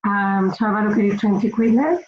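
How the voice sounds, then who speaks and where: A woman from Spain with a Spanish accent gives informal instructional tips to camera in a slightly hesitant, halting way. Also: medium pitch. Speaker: finance consultant giving budgeting tips. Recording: roomy echo, dull lo-fi sound, faint noise.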